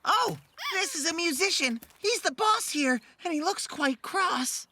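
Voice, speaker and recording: A woman's voice: very high-pitched, animated, unhurried, noisy background. A woman voicing a chatty little child dragon in a children's cartoon, explaining things with playful self-assurance over music. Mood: confiding. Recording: background music under the voice.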